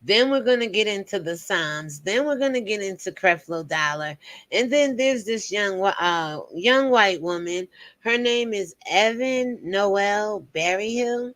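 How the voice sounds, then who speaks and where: A woman's voice: medium pitch, expressive, a little slow, fairly bright tone. A woman in her fifties hosting a casual commentary show, chatting to viewers with preachy asides. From United States. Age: 50s.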